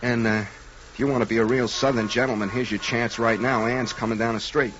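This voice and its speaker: An actor playing a cowardly, wry, slangy sidekick with a shady past in a 1940s radio comedy-drama. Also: male voice, medium pitch, steady tone, a little fast, a bit bright, natural voice. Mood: sly. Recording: dull, noisy 1940s radio recording.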